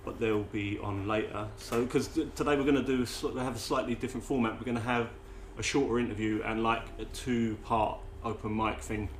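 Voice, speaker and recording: A man's voice: lowish voice, fairly even intonation, a little fast, few pauses. A man hosting a podcast interview, chatty and swearing, often breaking off and restarting his sentences. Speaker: podcast host. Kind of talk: casual interview chat. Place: room with several poets nearby. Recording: echoey room, faint ambient noise.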